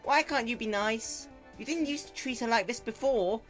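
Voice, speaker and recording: A man plays a small filly from a cartoon story, begging in a high, bright little voice. The words come drawn out and earnest, over music. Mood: pleading. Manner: pleading, drawn-out. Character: pleading little filly, young girl pony.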